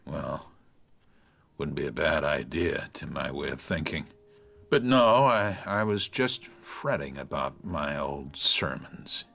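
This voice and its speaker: An actor playing an aging small-town reverend, reflective and self-doubting, speaking pensively with wry hesitations in a literary radio drama. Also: male voice, extremely low pitch, creaky, fairly bright tone, slightly rough, heavily acted.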